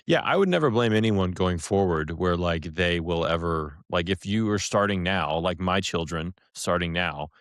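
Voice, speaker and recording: Low-voiced man chatting on a podcast. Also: moderate pace, few pauses, no room sound, clean studio sound. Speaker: podcast co-host. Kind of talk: casual review discussion.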